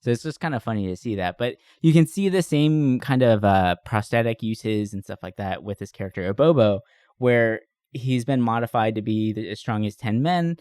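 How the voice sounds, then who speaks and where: A man's voice: lowish voice, normal speed, a little mellow, clean tone, no room sound, studio quality. A man co-hosting a podcast in an enthusiastic, informal chat, swearing casually. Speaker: podcast co-host. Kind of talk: casual chat about movies.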